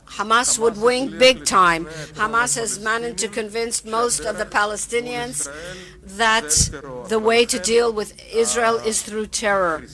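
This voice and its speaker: A woman giving a live interpretation of a conference interview, with a measured, halting delivery and pauses as she keeps up. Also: a little high. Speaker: simultaneous interpreter.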